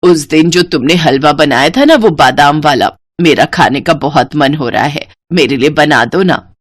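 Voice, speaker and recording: Casting: female narrator as nagging middle-aged mother-in-law, gullible and bossy, lowered pitch, storybook dialogue. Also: expressive, moderate pace. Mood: coaxing, insistent. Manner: bossy, nagging, easily fooled. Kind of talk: acted dialogue in a Hindi moral story.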